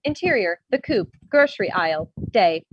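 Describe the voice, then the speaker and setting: Lively woman reading screenplay stage directions. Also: mid-range voice, normal speed, lo-fi sound, slight background noise. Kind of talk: scripted screenplay narration for a table read. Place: indoors, remote virtual table read.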